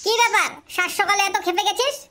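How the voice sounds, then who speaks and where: A performer voicing a scolding, sharp-tongued cartoon character in a comedy, with a pitched-up filter. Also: quick pace. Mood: suspicious, scolding. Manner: brash, scolding, swearing. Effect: chipmunk-like pitch-up filter.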